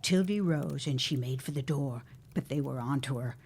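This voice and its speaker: A woman's voice: very deep voice, moderate pace, darkish tone, husky, exaggerated voice. An actress playing a woman who tells an eerie ghost tale in a grave, suspenseful, theatrical way for an audio drama.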